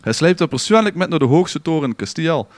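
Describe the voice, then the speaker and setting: A man's voice: on the high side, lively intonation, fast. A man with a Limburgish Dutch accent telling a scripted story in a theatrical way as a podcast co-host. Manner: dramatic, bantering with co-hosts.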